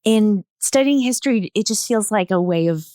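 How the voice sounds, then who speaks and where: A woman's voice: medium pitch, lively intonation, normal speed, mellow, no room sound, clean studio sound. A woman guest chatting casually and thoughtfully, feeling her way through her phrases. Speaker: podcast guest, theatre artist. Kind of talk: casual discussion.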